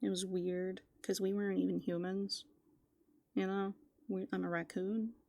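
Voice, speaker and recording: A soft-spoken woman doing rambling, playful ASMR as a raccoon, musing aloud and often slipping into whispers. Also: mid-range voice, little pitch movement, moderate pace, creaky. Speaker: ASMR host. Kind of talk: furry roleplay ASMR, improvised rambling.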